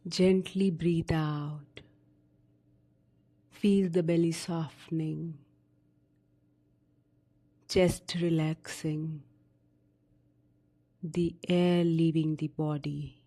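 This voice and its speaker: Slow, low-pitched woman guiding meditation. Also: fairly even intonation, warm tone. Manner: soothing, unhurried instructions with long pauses. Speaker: meditation guide. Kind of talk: guided sleep meditation narration.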